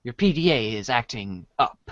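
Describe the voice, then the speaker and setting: A man's voice: mid-range voice, lively intonation, normal speed, a bit bright, no echo, muffled recording. A man narrating a comic storybook script in the second person.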